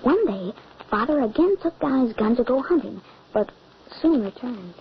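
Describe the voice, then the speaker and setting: A woman's voice: fairly high pitch, lively intonation, moderate pace. A woman playing an anxious young boy who narrates a grim family tale in earnest, dramatic old-time radio style. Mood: mournful. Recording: muffled, noisy old radio recording.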